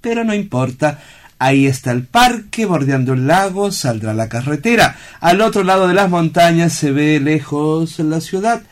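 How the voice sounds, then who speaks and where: A man acting a calm, authoritative doctor in a radio drama, formal and reassuring in manner. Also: on the high side, normal speed, close to an everyday voice. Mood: soothing, firm. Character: calm, authoritative male doctor directing a strange institution.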